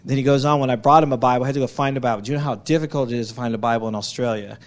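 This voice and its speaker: A man's voice: mid-range voice, steady tone, rapid-fire. A man giving an anecdotal church testimony as a missionary, telling it conversationally and acting out what was said. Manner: conversational storytelling, acts out quotes.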